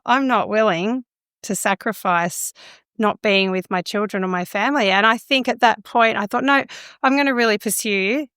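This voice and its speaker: A woman from Australia, a speech pathologist and singer, answering interview questions in a relaxed, reflective and candid way. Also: mid-range voice, smooth, no echo, clean studio sound.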